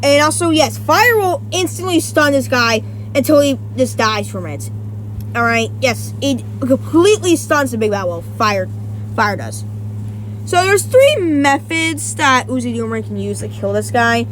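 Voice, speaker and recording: A woman with a high voice talks through a casual versus-battle breakdown. She rambles, stops to correct herself and restarts often, dropping in the odd swear word. A faint hum sits behind her. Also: sing-song, measured pace, low-quality recording. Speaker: YouTuber.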